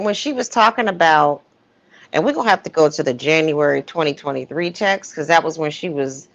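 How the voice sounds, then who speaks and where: A woman's voice: lowish voice, gravelly. A woman giving opinionated, emphatic gossip commentary in a casual livestream chat. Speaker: co-host of a livestream. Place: livestream call. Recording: muffled lo-fi livestream audio.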